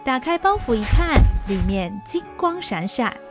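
A woman narrating an audio drama in a smooth, expressive storytelling manner. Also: high-pitched, normal speed, over music.

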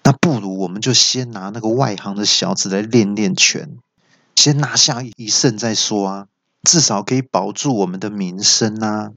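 A man's voice: on the low side, normal speed, creaky, fairly bright tone, dry recording. A man voicing a boastful, scheming male mountain demon who draws out his words in a wheedling way.

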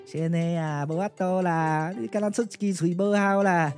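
A man's voice: sits high, fairly even intonation, moderate pace, a little mellow, faint music behind. A man voicing a grumbling, exasperated comic disciple with colloquial, complaining delivery in a puppet-theatre radio drama.

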